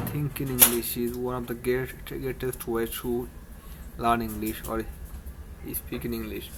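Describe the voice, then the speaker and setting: A man with a Bangladeshi accent coaches beginners in spoken English, speaking slowly and in a flat, even tone. His phrasing is earnest and halting, full of restarts and broken grammar. A faint background hum sits under his voice. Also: mid-range voice. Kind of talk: informal English lesson to camera.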